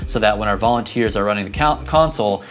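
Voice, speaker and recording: A man's voice: lowish voice, quick pace, a bit bright, faint music behind. A man in his thirties giving a conversational, practical tutorial to camera, speaking into a lapel mic. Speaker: church audio instructor. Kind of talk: explanatory tutorial talk to camera. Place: indoors, equipment room and church hall. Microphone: clip-on lapel mic.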